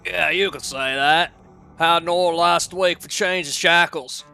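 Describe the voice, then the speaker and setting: A man's voice: a little high, a little slow, vocal fry, bright, forceful tone, soft background music, exaggerated voice. A man acting as a weary, disgruntled blacksmith in an audio drama, with blunt, grumbling working-man talk. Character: weary, disgruntled overworked male blacksmith, gruff and plain-spoken.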